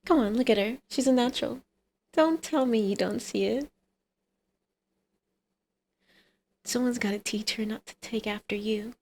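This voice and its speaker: A woman's voice: a little high, fairly quick, a little mellow, a little hiss or hum, lightly acted. A woman playing a flirty female villain and doting mother in an ASMR roleplay, with a teasing, coaxing, sardonic manner. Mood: tender, playful.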